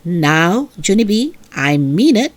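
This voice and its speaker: A woman's voice: mid-range voice, sing-song, slow pace, no echo. A woman voicing a stern, exasperated mother in a children's storybook, scolding in a firm, emphatic, commanding way. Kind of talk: animated children's storybook reading.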